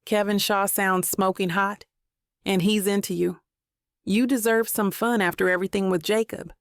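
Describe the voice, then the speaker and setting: A woman voicing a lively, supportive best friend in an audiobook, bright and encouraging. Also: mid-range voice, normal speed, no echo, clean studio sound, close to an everyday voice. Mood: excited. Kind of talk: audiobook character dialogue.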